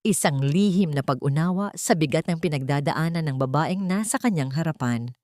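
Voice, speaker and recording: A woman narrating a scripted drama story in a measured, solemn way. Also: deep voice, animated, darkish tone, no echo, clean studio sound.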